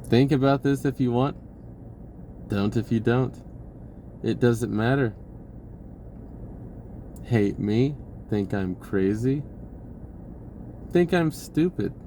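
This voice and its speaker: A man giving an unhurried, reflective spiritual talk with many pauses, his voice lifting when he acts out an inner dialogue. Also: medium pitch, warm tone, slight background noise. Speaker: spiritual video host.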